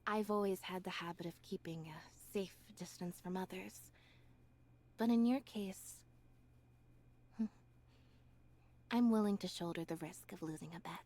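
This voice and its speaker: Woman playing a composed, deadpan young magician's assistant. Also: fairly low pitch, measured pace, long pauses, close to an everyday voice. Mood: calm, reflective. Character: reserved, observant young female stage magician's assistant, calm and detached. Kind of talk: scripted video game character lines.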